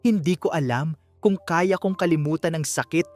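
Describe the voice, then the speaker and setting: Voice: medium pitch, moderate pace, a little mellow, close to an everyday voice. Resolute, tender-hearted woman, voiced by a story narrator: emphatic, earnest delivery over a music bed. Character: weary, resolute, compassionate woman ready to fight.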